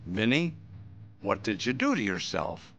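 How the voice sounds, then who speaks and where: A man's voice: fairly low pitch, big pitch swings, unhurried, lo-fi sound, a little hiss or hum, heavily acted. An actor playing a stubborn older man in a vintage radio drama, commanding and indignant. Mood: elated. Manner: indignant, commanding, then overjoyed shouting. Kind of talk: 1950s radio drama acting.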